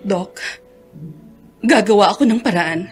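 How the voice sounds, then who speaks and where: An actress playing a caring, anxious grown daughter in a Filipino radio drama. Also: female voice, mid-range voice, measured pace, soft background music, close to an everyday voice. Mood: gentle, worried. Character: caring, anxious adult daughter of an ailing father.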